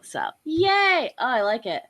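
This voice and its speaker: A woman's voice: high-pitched, sing-song, unhurried, a bit bright. A young adult woman hosting a casual drawing stream, chatty and bubbly, sounding a little nasal from a cold. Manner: bubbly, chatty, lots of laughter. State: congested with a cold, a bit nasal. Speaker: host and artist.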